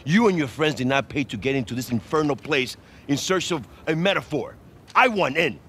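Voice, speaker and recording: An actor playing a corrupt middle-aged prison boss in a video game, with a Latin American Spanish accent and a sly, theatrical, menacing manner. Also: male voice, on the high side, lively intonation, fairly quick, fairly bright tone, slight background noise. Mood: grandiose, insistent. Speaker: prison warden or guard. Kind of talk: video game cutscene acting.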